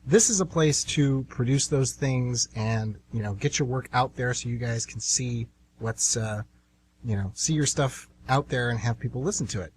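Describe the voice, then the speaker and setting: A man's voice: mid-range voice, normal speed, a little hiss or hum. A man co-hosting an amateur radio show with casual, conversational announcing.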